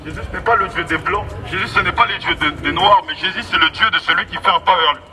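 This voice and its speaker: A man's voice: high voice, fast talker, sharp tone. A man preaching an evangelistic street sermon through a megaphone, emphatic, repetitive and exhorting. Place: outdoors in an urban street. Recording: distant, noisy outdoor megaphone with street traffic.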